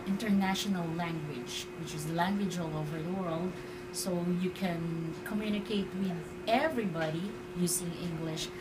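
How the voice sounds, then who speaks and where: A woman from the Philippines answering interview questions with many pauses and fillers. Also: medium pitch, heavy background noise. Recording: loud music over the voice.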